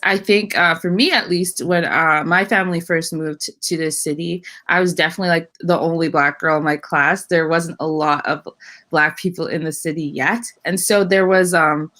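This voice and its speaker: A woman's voice: on the low side, a bit bright. A woman, a panel guest speaking reflectively and searching for words, with frequent fillers and restarts. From Saskatchewan, Canada.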